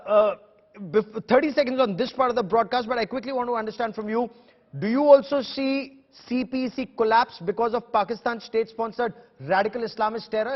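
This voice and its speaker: A man's voice: sits high, dull audio. A male TV news anchor with an Indian English accent, presenting and questioning in an emphatic, dramatic style. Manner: emphatic, repetitive, theatrical delivery. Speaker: TV news anchor and debate host.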